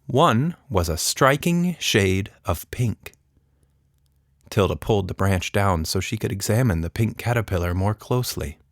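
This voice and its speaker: A man's voice: lowish voice, lively intonation, a little slow, no echo, clean studio sound. A man narrating a scripted children's story as a storyteller.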